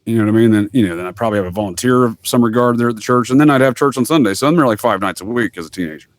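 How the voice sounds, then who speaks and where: A man's voice: medium pitch, racing, no echo. Male American podcast guest, mid-range voice, casual storyteller with wry folksy asides, dry close recording. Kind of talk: casual anecdotal explaining.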